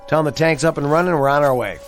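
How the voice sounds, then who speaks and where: A man's voice: fairly high pitch, rapid-fire, over music, close to an everyday voice. A man playing a firm, reassuring, commanding National Guard sergeant in a radio drama. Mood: brisk.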